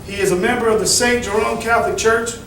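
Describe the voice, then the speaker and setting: A man addresses a packed community hall in a loud, folksy manner. His voice sits high for a man. He is recorded from a distance in an echoey room with clear background noise. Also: fairly even intonation, fast talker. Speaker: meeting chairman. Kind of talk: public address to a meeting.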